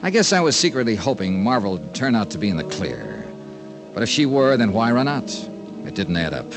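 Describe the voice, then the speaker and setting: A hard-boiled American investigator in an old radio detective serial. His voice is on the low side and moves widely in pitch. The delivery is dry, clipped and sardonic, with faint music at times. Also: very animated, brisk. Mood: dry, matter-of-fact. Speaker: lead detective and narrator. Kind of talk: 1950s radio detective acting.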